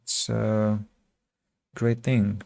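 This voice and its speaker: A man from Russia with a Russian accent chats casually with viewers as a game streamer, speaking reflectively and pausing mid-sentence. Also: on the low side, flat delivery, gravelly, darkish tone, dry recording. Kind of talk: casual livestream chat with viewers.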